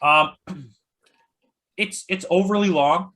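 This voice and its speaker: Bright-voiced man giving emphatic movie opinions. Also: high voice, slow pace. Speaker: film critic guest. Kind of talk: casual opinionated movie discussion.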